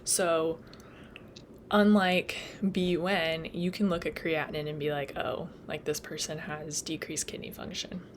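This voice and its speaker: A woman's voice: low-pitched, flat delivery, measured pace, noisy background. A young woman explaining nursing material in a casual, chatty way, full of "like" and "um", and she is losing her voice. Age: young adult. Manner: chatty, lots of "like" and "um", laughs at own slips. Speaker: nursing student tutor. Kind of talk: casual study lecture.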